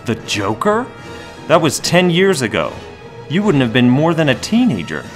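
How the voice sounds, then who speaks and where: A man's voice: a little high, huge pitch swings, moderate pace. A stern masked hero in an audio drama, played by a man. He is terse and reasoning, with a very varied intonation. There is music behind the voice. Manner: terse, questioning. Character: stern, reasoning adult male masked superhero. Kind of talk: fan audio drama acting.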